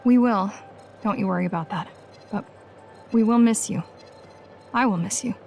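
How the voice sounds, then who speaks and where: A woman's voice: medium pitch, normal speed, darkish tone, faint music behind, close to an everyday voice. A woman playing an earnest, loyal, reserved leader in a video game, speaking in short, sincere phrases. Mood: sad, sincere.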